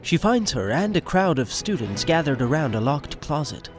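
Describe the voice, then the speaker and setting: A man narrating a scripted audio drama in short, measured sentences. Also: on the high side, very animated. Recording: music behind narration.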